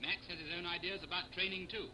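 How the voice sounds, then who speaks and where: Rapid wry 1930s newsreel narrator, male. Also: high voice, steady tone, over a noisy crowd. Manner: wry, punning. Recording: noisy old 1930s newsreel soundtrack.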